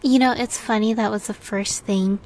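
A woman playing a shy, sweet young catgirl roommate in a girlfriend roleplay, soft-spoken and hesitant, often slipping into a whisper. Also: medium pitch, fairly even intonation, normal speed, close to an everyday voice, low-quality recording. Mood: polite, chatty. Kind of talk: girlfriend roleplay audio acting.

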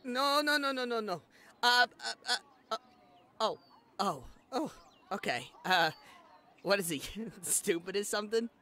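A woman's voice: medium pitch, expressive, unhurried, pauses often, sharp tone. A woman voicing a sarcastic, scolding little fairy outlaw in an amateur fantasy audio drama. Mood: nervous.

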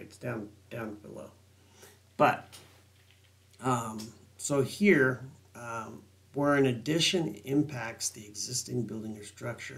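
A man's voice: on the low side, vocal fry. A middle-aged man reading and explaining building code sections during a screen-share walkthrough, with lots of ums and restarts. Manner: rambling, filler-heavy reading of code text. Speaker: building design consultant.

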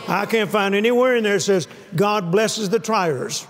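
A man's voice: sits high, moderate pace. An older man preaching a sermon to a live audience on a church stage, emphatic and repeating key phrases, often calling for amens. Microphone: lapel mic. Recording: long hall reverb.

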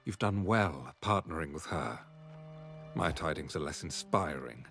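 An actor playing a grave, dutiful knight in a video game, speaking formally and solemnly. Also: male voice, rumbling low, lively intonation, unhurried, fairly bright tone, breathy edge, heavily acted. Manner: formal, solemn, archaic phrasing. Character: grave, dutiful male knight ally giving a quest. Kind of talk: scripted video game quest dialogue. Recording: game music behind the voice.

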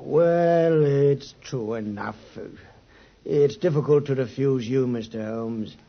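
An actor playing a grudging, insistent British police inspector in a 1950s radio drama. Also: male voice, medium pitch, takes it slowly. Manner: official, insistent. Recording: muffled 1950s radio recording.

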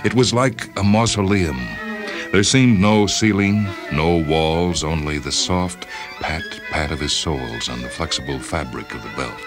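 A man's voice: very deep voice, unhurried. A man hosting and reading short stories as scripted literary narration. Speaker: host and story reader. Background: music and sound cues.

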